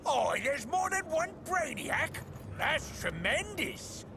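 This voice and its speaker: Game role: eccentric arms dealer, high-pitched, wildly swooping, self-absorbed and clipped. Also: male voice, very animated, slow, heavily acted. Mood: brisk, scheming. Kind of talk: video game character acting. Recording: game audio with vehicle ambience noise.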